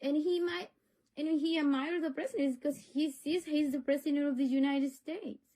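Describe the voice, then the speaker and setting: A woman's voice: high voice, steady tone, normal speed, warm tone. A middle-aged woman from Honduras, a native Spanish speaker with a Honduran accent, earnestly and insistently making her case in a serious conversation. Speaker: parent of a pupil.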